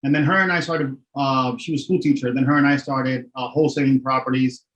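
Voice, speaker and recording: A man's voice: medium pitch, steady tone, brisk, a bit bright, rough recording. A middle-aged man from Miami, a real estate investor speaking as an interview guest, candid and matter-of-fact, often adding "right?". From Miami, Florida. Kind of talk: casual interview talk.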